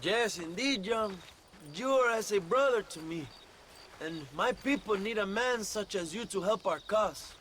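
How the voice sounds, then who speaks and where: An actor playing a passionate, grandiose Mexican revolutionary leader in a video game, with florid speech and a Mexican accent. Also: male voice, sits high, lively intonation, measured pace, fairly bright tone, a little hiss or hum. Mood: grandly grateful. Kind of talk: video game cutscene acting.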